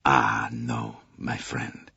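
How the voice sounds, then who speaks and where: Well-fed gent, philosophical, sighing, theatrical, husky and low, storybook. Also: male voice, little pitch movement, very slow, sharp tone, dry recording, highs cut off, exaggerated voice. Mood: uneasy, coaxing. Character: philosophical, well-fed continental gentleman, warm and expansive.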